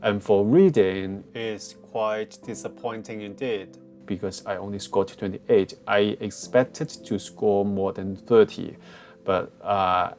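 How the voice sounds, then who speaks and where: A man with a Hong Kong English accent talks through exam advice in a relaxed, meandering way, pausing on fillers and restarting his sentences. His voice sits fairly low, recorded on a cheap headset mic. Faint music plays underneath. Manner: frequent fillers and restarts, plain phrasing. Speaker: test prep tutor. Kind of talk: casual explainer walkthrough.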